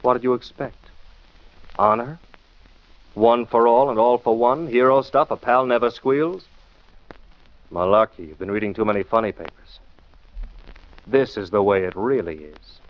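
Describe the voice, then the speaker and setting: Guy acting a sharp-witted 40s radio detective, lecturing hard, mid voice, dull old recording. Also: medium pitch, normal speed, pauses often, close to an everyday voice. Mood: scornful, forceful. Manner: emphatic, rhetorical questioning. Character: shrewd male detective, lecturing and scornful. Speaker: detective lead and show host. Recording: muffled 1940s radio recording.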